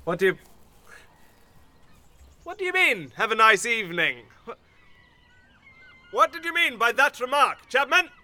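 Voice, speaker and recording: A British actor playing a sour, jealous funeral director in an audio sitcom, spluttering, clipped and easily flustered. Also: male voice, very high voice, big pitch swings, measured pace, long pauses, bright, forceful tone, exaggerated voice. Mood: indignant, frantic.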